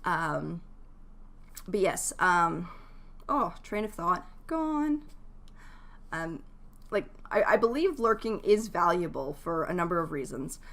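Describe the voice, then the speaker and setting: Lady streamer w a lowish voice, just chatting to her viewers, jokey n kinda rambly, giving tips. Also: animated, slow, long pauses, fairly bright tone. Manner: rambling, chatty, often breaks off to address chat; jokey, laughs easily. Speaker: game streamer. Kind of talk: casual livestream chat, giving advice to viewers.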